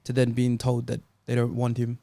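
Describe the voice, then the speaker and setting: A man's voice: mid-range voice, completely flat delivery, moderate pace, gravelly, warm tone, dry recording. A man talking casually and argumentatively as a panelist on a football show. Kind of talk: casual debate.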